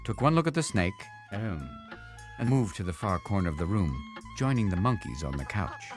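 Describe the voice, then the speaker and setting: Expressive, low-voiced man reading a storybook. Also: normal speed, music in the background. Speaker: children's story reader. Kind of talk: expressive storybook read-aloud narration.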